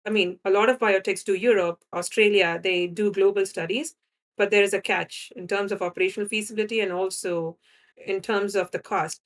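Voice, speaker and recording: A woman's voice: medium pitch, steady tone, fairly quick. A woman from India with an Indian accent, a guest scientist explaining her work in a conversational interview, often checking in with "right?". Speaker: guest scientist in drug development.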